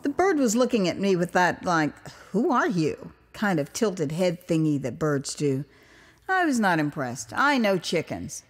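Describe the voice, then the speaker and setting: An American woman narrating her own memoir as an audio drama, expressive and wry, with conversational asides. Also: lowish voice, normal speed. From United States. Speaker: author and storyteller.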